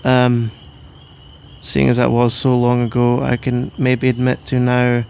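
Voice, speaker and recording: A man's voice: mid-range voice, monotone, measured pace, vocal fry, darkish tone, slight background noise. A man playing a sleepy, grumbling, playful husband in an ASMR roleplay, rambling and bantering with mock indignation. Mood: teasing, mock-boastful. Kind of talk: ASMR roleplay, improvised-sounding one-sided conversation.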